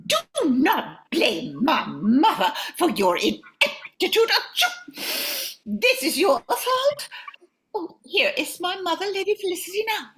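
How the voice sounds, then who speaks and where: Woman playing a very high-pitched spoiled young noblewoman. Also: wildly swooping pitch, slow pace, a bit bright, full character voice. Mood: haughty, accusing. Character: spoiled young noblewoman, petulant, blaming, dramatic daughter. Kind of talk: comic radio play acting.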